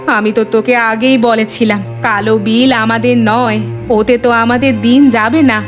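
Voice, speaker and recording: Cartoon role: old village mother, woman actor. Plaintive, exhorting, melodramatic, fairly high pitch, clear music bed underneath. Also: fairly even intonation, measured pace, close to an everyday voice. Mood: weary, worried. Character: sorrowful, resolute elderly village mother of a grown son. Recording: music behind the voice. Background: background music.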